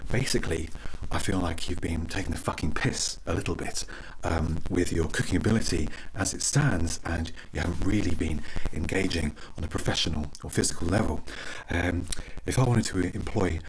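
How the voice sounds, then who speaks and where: A man playing a foul-mouthed, impatient celebrity chef in an ASMR roleplay, murmuring haltingly and slipping into whispers. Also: low-pitched, slight background noise, low-quality recording. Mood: scolding.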